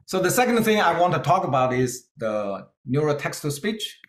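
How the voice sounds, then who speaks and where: A man's voice: fairly high pitch, big pitch swings, moderate pace, reverberant. Man with a Chinese-Scottish accent. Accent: Chinese-Scottish English. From China. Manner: earnest, plain-spoken, with hesitant fillers. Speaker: keynote speaker. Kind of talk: conference talk with slides.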